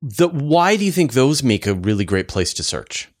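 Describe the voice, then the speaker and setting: A man's voice: medium pitch, big pitch swings, normal speed. A man hosting a science interview, asking questions in a conversational way. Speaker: interviewer, host.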